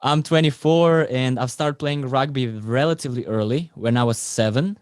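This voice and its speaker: A man's voice: mid-range voice, moderate pace, no room sound. Young man, dry sound. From Croatia. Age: 20s. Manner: non-native phrasing, fillers, lively storytelling. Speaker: podcast guest, rugby player. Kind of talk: casual interview storytelling.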